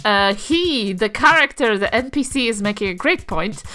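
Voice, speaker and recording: A woman's voice: mid-range voice, animated, moderate pace, sharp tone. A woman talking casually and improvising as a player in a tabletop role-playing game.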